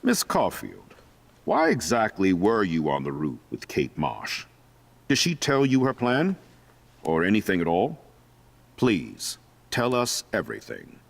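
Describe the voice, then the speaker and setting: A man's voice: sits low, wildly swooping pitch, measured pace, gravelly, exaggerated voice. A man playing a stern, formal school principal with a pompous manner in a video game drama. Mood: questioning.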